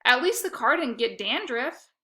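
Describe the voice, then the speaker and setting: A woman's voice: high-pitched, expressive, normal speed, sharp tone, pitched above the performer's own voice. A woman voicing a bossy, whiny, competitive young girl in a children's storybook. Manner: insistent, squawking, whiny.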